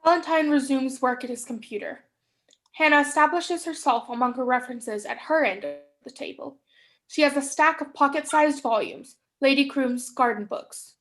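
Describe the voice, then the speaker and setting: A woman's voice: sits high, moderate pace, a bit bright, soft background music. A young woman reading stage directions as scripted narration in a staged play reading. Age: young adult.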